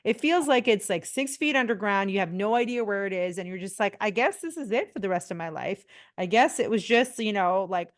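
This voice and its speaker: A woman's voice: mid-range voice, expressive, fairly quick. A woman in her forties from India, a pelvic physiotherapist talking as a podcast guest in a chatty way, full of fillers and restarts. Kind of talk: casual interview talk.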